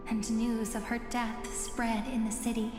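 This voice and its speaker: A woman's voice: fairly high pitch, flat delivery, unhurried, lightly acted. An actress playing a sober female storyteller, delivering scripted narration for an epic game cutscene. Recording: echoey hall, music behind.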